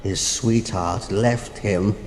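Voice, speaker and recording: An actor playing Death as a cynical, worldly, condescending figure, sardonic and declamatory in a theatrical style. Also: male voice, fairly low pitch, fairly even intonation, slow. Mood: wry, condescending. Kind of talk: theatrical stage morality play acting. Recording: echoing hall, faint noise.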